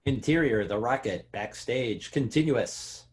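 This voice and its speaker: A man's voice: medium pitch, animated, measured pace. A man reading out screenplay scene headings in short scripted phrases at a table read. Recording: faint background noise.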